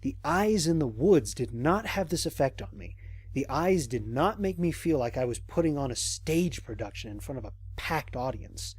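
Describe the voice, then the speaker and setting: An actor playing a cautious, observant male space explorer, recording mission logs in a measured, reflective way for an audio drama. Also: a little high, very animated, slightly rough, dry recording. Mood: uneasy, pensive. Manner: measured, reflective, formal diction with deliberate pauses. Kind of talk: scripted audio drama monologue.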